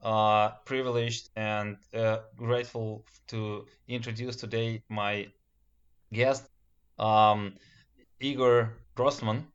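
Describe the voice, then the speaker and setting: A man's voice: lowish voice, level tone, slow, clean tone. A man whose first language is Russian, politely hosting an interview with careful, hesitant phrasing. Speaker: podcast host. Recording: dull lo-fi recording.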